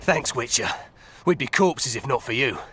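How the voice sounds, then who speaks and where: Bright-voiced, coarse thug, acted by a man. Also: mid-range voice, expressive, normal speed, a little hiss or hum, lightly acted. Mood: grudging. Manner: crude, blunt, colloquial. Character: coarse, foul-mouthed male hired thug, cocky and defiant. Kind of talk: video game voice acting.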